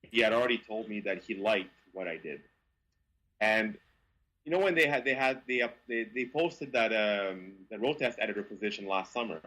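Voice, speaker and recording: Guest, male, Quebec French accent, slightly breathy, animated storyteller with stammered restarts. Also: fairly low pitch, normal speed, low-quality recording. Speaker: guest, car reviewer. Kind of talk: casual interview storytelling.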